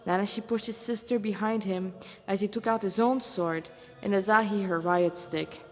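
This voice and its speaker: A woman's voice: medium pitch, fairly even intonation, normal speed, faint music behind. A woman reading her own fan fiction aloud as narrator, with dramatic phrasing and the odd stumble. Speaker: fan fiction author and reader. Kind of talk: read-aloud story narration. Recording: reverberant echoey room.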